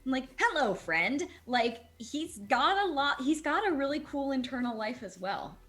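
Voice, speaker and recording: A woman's voice: high voice, very animated, moderate pace, a bit bright. A woman voice actor, guest in a casual chat, talking earnestly in long run-on thoughts about her characters. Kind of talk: casual video-call chat.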